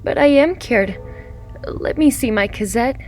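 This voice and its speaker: A frail young mother in a radio drama, played by a woman, feverish and desperate for her child. Her high voice moves very slowly and pleadingly, with music behind it. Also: darkish tone, close to an everyday voice. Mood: feverish, yearning.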